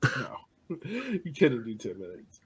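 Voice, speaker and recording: A young man co-hosting a casual sports podcast, talking loosely with frequent laughs and soft trailing asides. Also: lowish voice, animated, measured pace. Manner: loose and chatty, laughs often, trails off softly. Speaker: podcast co-host.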